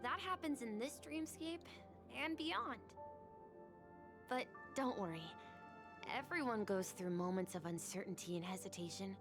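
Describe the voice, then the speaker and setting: Wistful boy mentor for a video game, encouraging, unhurried and fairly high, over music. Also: expressive. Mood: reassuring. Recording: background music under voice.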